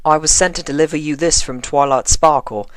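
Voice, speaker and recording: A man's voice: a little high, fairly even intonation, a little fast, smooth, no echo, pitched above the performer's own voice, lightly acted. Casting: polite, awkward young stallion constable in a story reading, formal delivery, lightly raised voice man acts it. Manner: formal, courteous. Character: awkward, very polite young male police colt.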